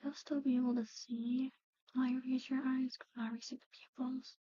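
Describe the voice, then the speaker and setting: A woman performs an ASMR roleplay in a high, mellow voice that keeps slipping into whispers. Her delivery is hesitant and halting, staying within a narrow, even range. The recording sounds slightly dull. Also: no echo.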